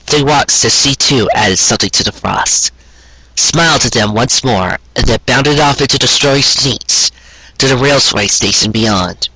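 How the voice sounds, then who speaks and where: A man reading a scripted story aloud in a gentle, measured storybook manner with deliberate pauses. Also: medium pitch, husky, no room sound, poor audio. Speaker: fan fiction audiobook reader.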